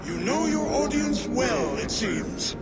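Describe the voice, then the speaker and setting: Grand, high-pitched old giant lord. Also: male voice, animated, slow pace, loud music behind the voice, full character voice. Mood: grand, presiding. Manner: grand, declamatory, formal. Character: boastful, genial old giant lord hosting a feast. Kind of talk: theatrical video game acting.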